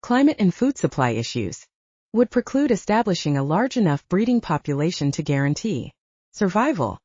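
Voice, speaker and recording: Woman reading as brainy male lecturer, audiobook. Measured, certain, academic, mellow and dry-sounding. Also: fairly low pitch, very animated, breathy edge, no echo, dull audio. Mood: coolly certain. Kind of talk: audiobook character dialogue.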